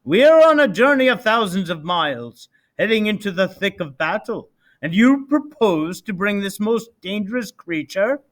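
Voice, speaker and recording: A man's voice: high voice, very animated, normal speed, fairly bright tone, pitched above the performer's own voice. Man playing a pompous, formal army colonel. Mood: stern, indignant. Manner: formal, declamatory. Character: stern, pompous army colonel, softened by a bear.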